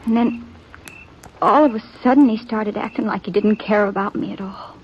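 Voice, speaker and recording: An actress playing a shy, earnest young American country girl in a 1950s radio Western, speaking in a halting, plaintive way. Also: mid-range voice, close to an everyday voice. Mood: hurt, downcast. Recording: dull lo-fi vintage radio, background noise.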